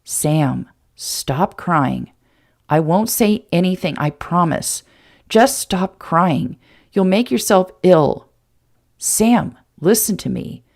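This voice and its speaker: A woman voicing a young male doctor, speaking lower, stern and insistent. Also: animated, slow, creaky, darkish tone, full character voice. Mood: pleading.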